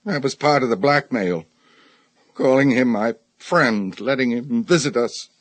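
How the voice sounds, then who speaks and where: An actor plays a middle-aged husband weighed down by guilt in a radio drama. His mid-pitched voice comes haltingly and heavily, in agony. The sound is close and dry. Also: no echo, natural voice. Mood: grim confession. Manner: halting, heavy, agonized delivery. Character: tormented middle-aged husband, haunted judge confessing killings.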